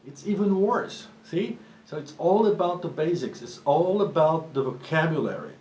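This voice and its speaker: A man whose first language is Portuguese, talking about drumming as a teacher in a relaxed, conversational way with frequent restarts. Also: fairly high pitch, moderate pace. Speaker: drum teacher. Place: drum studio. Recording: echoey room with faint ambient noise.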